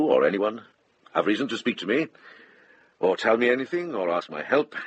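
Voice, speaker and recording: An actor playing a shrewd middle-aged monk investigator in a radio drama, speaking in a terse, probing, direct way with a husky voice. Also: male voice, low voice, fairly bright tone, no room sound, full character voice.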